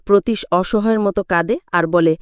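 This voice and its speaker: Female movie-recap narrator, smooth and resonant, dry studio sound, dramatic scripted storytelling. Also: medium pitch, normal speed, dry recording.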